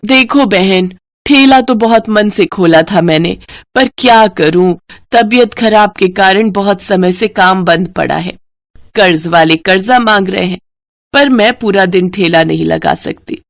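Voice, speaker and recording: Woman as practical female food-stall boss, kindly and frank, medium pitch, moral story. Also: a little slow, gravelly, no room sound, clean studio sound. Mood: weary, frank.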